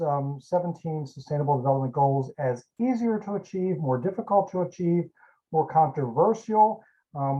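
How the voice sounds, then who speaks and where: A man's voice: fairly high pitch, dark tone. A man moderating a panel on a video call, asking questions conversationally with fillers. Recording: dull lo-fi call audio.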